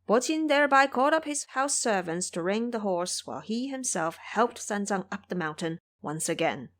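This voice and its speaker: A woman's voice: medium pitch, lively intonation, moderate pace, a bit bright. A woman narrator telling a scripted story in the style of an audio drama.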